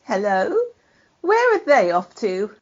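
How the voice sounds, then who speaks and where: A woman's voice: mid-range voice, wildly swooping pitch, slow pace, exaggerated voice. A woman in an amateur radio play, playing a tipsy, flirtatious, well-off widow with a gushing manner. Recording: dull telephone conference line.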